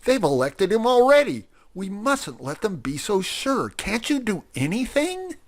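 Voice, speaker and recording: A man voicing a blunt, sharp-tongued male cat who speaks urgently. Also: mid-range voice, very animated, normal speed, voice pushed up. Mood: alarmed.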